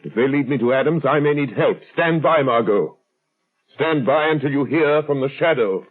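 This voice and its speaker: Actor as resolute sleuth, muffled old radio. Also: male voice, on the high side, normal speed, close to an everyday voice. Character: calm, resolute gentleman sleuth, determined male hero. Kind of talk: 1930s radio drama acting.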